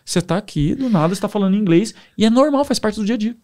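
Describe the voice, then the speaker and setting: A young man with a Brazilian Portuguese accent, a podcast guest telling stories in a casual, animated way. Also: high-pitched, fast. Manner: animated, enthusiastic storyteller. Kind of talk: casual storytelling.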